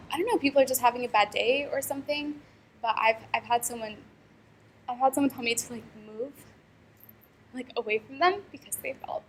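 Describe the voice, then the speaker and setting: Young woman from Pakistan, fairly high voice, articulate and casual, faint room ambience. Student interview subject. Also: slight background noise, poor audio. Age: young adult. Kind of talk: reflective interview answers.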